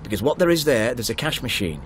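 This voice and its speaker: A middle-aged man played by an actor driving in a radio drama. He talks quickly and confidently, persuasive and insistent on helping, with faint vehicle noise behind him. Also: medium pitch, very animated, lightly acted. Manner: brisk, assured, persuasive. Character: married male driver, assured, persuasive, insistently helpful. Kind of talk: naturalistic radio drama acting. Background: car interior ambience, faint engine noise.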